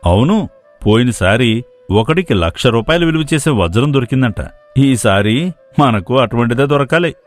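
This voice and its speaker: A man's voice: medium pitch, expressive, normal speed, natural voice. A man playing a lazy, scheming young villager, a casual and mocking sidekick in a moral story. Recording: music playing behind the voice.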